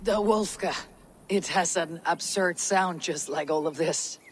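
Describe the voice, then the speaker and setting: Actress as tough female Viking leader, dry firm delivery, measured, game cutscene, ambient noise behind. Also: fairly low pitch, lightly acted. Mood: gentle, steady. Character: tough Viking warrior woman, wry and steady leader. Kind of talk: dramatic video game cutscene acting.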